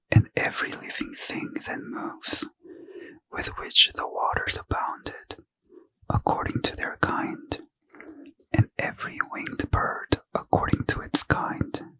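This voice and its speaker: A man whispering a bedtime scripture reading in a gentle, unhurried ASMR manner. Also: rough recording. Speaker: ASMR reader.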